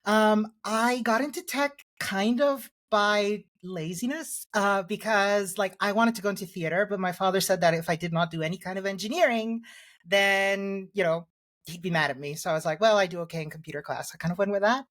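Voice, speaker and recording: A woman's voice: medium pitch, fairly quick, a bit bright. A woman from Chile speaks as a podcast guest in a mid-range voice. She talks casually about her work and pokes fun at herself. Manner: self-deprecating, joking. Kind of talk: casual interview.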